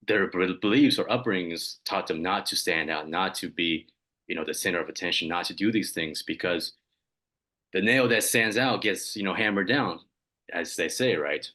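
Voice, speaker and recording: A man's voice: low-pitched, moderate pace, pushed and bright. A man on a dating coach panel, talking in an assured way and building on others' points. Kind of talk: casual panel discussion.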